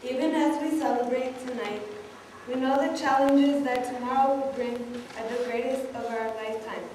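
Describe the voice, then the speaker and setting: A woman's voice: sits high, steady tone, moderate pace, a little mellow. A young woman reading a political speech aloud to a congregation. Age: young adult. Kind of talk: read-aloud political speech at prayer service. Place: large church, indoors. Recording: echoey church hall, far off, faint noise.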